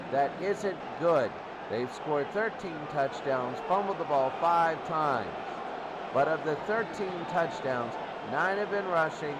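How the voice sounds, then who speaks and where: A man giving football pregame commentary, reading out team statistics in a halting, phrase-by-phrase delivery. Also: a little high. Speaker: sports commentator. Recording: very noisy amateur recording. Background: loud background noise.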